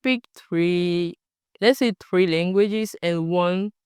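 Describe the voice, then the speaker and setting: Young Cameroonian woman, French accent, very smooth clear voice, mid pitch, hesitant and searching for words, dry close recording. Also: takes it slowly, darkish tone, no echo. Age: young adult. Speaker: student researcher. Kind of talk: documentary interview answers.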